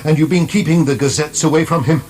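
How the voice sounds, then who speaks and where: A fussy, pompous older governor of a prison played by a man in a radio drama. His voice is slightly high and hesitant, over a faint hiss. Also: fairly even intonation, fast talker, a little mellow, lightly acted. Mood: irritable.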